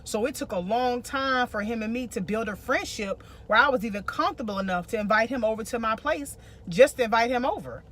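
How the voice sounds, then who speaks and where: A woman's voice: a little high, animated, brisk, hardly any pauses, a bit bright. An American woman co-hosting a relationship vlog, giving casual advice and repeating phrases for emphasis.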